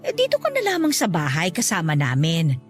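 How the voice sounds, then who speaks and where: An expressive, pushy, doting mother-in-law. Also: low-pitched, a little fast. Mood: hesitant, coaxing. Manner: coaxing, insistent, expressive. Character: doting, pushy middle-aged mother-in-law, expectant grandmother. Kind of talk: Tagalog radio drama acting. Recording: music bed under the voice. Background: background music.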